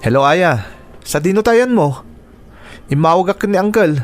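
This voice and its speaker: An indignant young nephew from an Ilocano radio drama. His voice sits fairly high, worried and reproachful, pressing and scolding. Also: lively intonation, measured pace, soft background music. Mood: agitated, reproachful.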